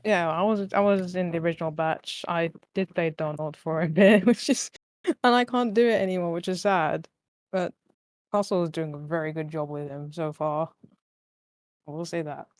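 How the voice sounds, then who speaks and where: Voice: medium pitch, fairly even intonation, moderate pace, dry recording. A podcast guest and fandub voice actor chatting casually. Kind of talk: casual chat.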